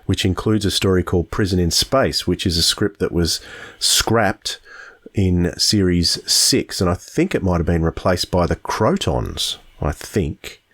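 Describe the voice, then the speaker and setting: Casting: male podcast host, deep register, informal conversational delivery. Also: a little slow, a bit bright, slightly rough. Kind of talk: casual podcast chat.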